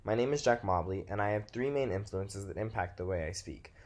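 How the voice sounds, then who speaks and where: A man's voice: lowish voice, a little fast. A nineteen-year-old man from Texas with a Texas American accent, narrating a scripted presentation as a student. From Texas, United States.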